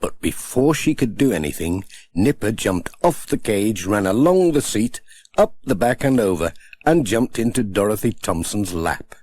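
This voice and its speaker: A man's voice: lowish voice, big pitch swings, moderate pace, a little mellow. A man narrating a children's story as an audiobook reader.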